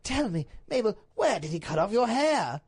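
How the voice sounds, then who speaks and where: A woman's voice: medium pitch, very animated, moderate pace, darkish tone, husky, voice pushed up. A woman voicing a bewildered, indignant but doting duke in a storybook reading, with a pompous, emphatic, melodramatic delivery. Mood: alarmed, tender.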